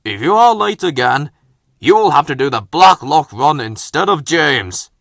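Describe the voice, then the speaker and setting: A man's voice: a little high, sing-song, measured pace, vocal fry, fairly bright tone, dry recording, studio quality, exaggerated voice. A man voicing a stern, pompous male railway boss in a children's storybook, speaking formally and with authority. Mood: stern, cross.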